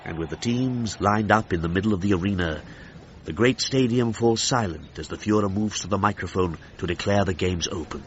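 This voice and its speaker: A man's voice: low voice, fairly quick, slight background noise, natural voice. An actor playing a stately, dramatic male commentator in the style of a 1930s radio broadcast, full of reverence. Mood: stately, awed. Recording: dull band-limited sound with music behind.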